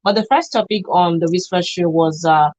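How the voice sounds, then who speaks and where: A woman's voice: fairly low pitch, moderate pace, a little mellow, dry recording. A woman with a Nigerian accent hosting a live talk radio show from a studio.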